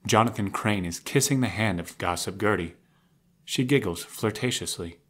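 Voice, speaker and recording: Lowish-voiced male drama narrator. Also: normal speed. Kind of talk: scripted audio drama narration.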